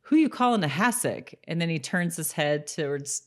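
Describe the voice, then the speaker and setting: A woman with a notably low voice co-hosts a rewatch podcast. Her talk is casual and conversational, with an informal feel. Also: animated, moderate pace. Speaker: podcast co-host. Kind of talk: casual chat about a TV rewatch.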